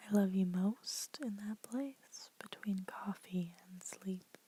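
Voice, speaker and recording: A woman's voice: mid-range voice, takes it slowly, slight background noise. A young woman whispering throughout, reading poems aloud for an ASMR video. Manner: soft unvoiced whisper throughout; casual, chatty intro with fillers. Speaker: ASMR creator.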